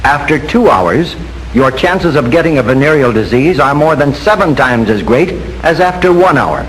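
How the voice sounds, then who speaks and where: An American man narrates in the formal style of a 1940s training film. His voice sits a little high, and he speaks in a measured, instructive way. The old film soundtrack carries some noise and hiss. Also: expressive, rough recording.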